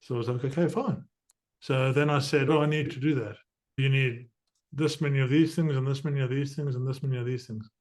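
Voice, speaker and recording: A middle-aged man from southern Africa who hosts a podcast, explaining things with energy and quick asides. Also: mid-range voice, steady tone, darkish tone. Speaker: podcast host. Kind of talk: conversational interview.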